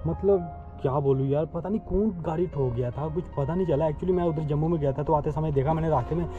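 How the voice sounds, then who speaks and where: A man's voice: fairly high pitch, fast talker, phone-quality sound, over music. A young man talking casually to his viewers while riding a motorbike through city streets, speaking into a helmet-mounted camera and often repeating himself. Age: young adult. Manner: chatty, repeats himself, addresses viewers as guys. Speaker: motovlogger. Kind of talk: casual vlog chat. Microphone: helmet-mounted action camera.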